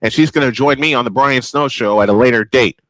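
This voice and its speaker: Male baseball announcer, traditional play-by-play host style, medium pitch, dry studio sound. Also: quick pace, a bit bright, dry recording, poor audio. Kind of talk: old-school baseball play-by-play commentary.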